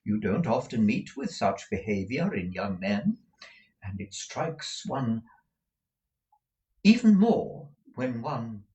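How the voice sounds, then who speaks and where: A man's voice: medium pitch, sing-song, slow pace, warm tone, muffled recording. A man voicing a fussy, gushing middle-aged mother from a storybook, emphatic, exclamatory and rambling. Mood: gushing, indignant.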